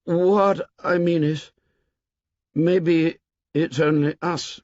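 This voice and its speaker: A man's voice: on the high side, steady tone, a little mellow, no echo, voice pushed up. A timid young boy who halts and searches for his words, his voice a little raised. A man reads him aloud. The audio is muffled and dry. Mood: hesitant. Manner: hesitant, halting. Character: shy, hesitant young boy. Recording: dull, dry recording.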